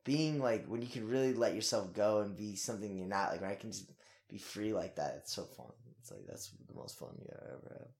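Rambling energetic young man, lowish voice. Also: fairly quick, creaky. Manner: energetic, rambling, frequent fillers and swearing. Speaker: actor. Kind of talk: casual interview.

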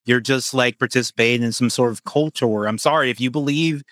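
A man's voice: medium pitch, quick pace, a bit bright, no echo, studio quality. A man co-hosting an online show, talking casually and leaning on "like" a lot. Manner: casual, heavy use of "like". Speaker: co-host. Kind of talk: casual political chat.

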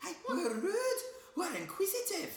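Naive, adoring boy for sketch comedy, acted by a woman; high voice, exclamatory, echoey room, faint music. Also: big pitch swings, a little slow, full character voice. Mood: eager, curious. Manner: exclamatory, wide-eyed. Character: eager, naive Irish immigrant son, adoring of daddy. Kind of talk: improvised comedy sketch acting.

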